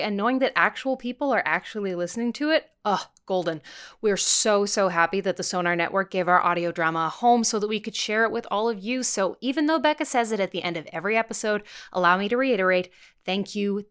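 A woman's voice: medium pitch, a bit bright, clear, resonant tone. A woman hosting a podcast, giving a scripted introduction in an informal, playful and enthusiastic way. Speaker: podcast host, voice actor.

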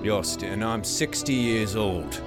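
A man's voice: on the low side, a little slow, over loud music, close to an everyday voice. A man voicing a droll, boastful sixty-year-old adventurer with a mixed, hard-to-place accent in an improvised tabletop game. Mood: proud. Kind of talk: improvised tabletop role-play.

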